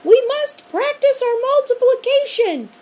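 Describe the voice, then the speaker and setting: A woman's voice: expressive, a little slow, mellow. A woman voicing a fussy, strict female teacher who has turned into a hairy snow monster, reading from a storybook. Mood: shrill quizzing. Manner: shrill, fussy, quizzing.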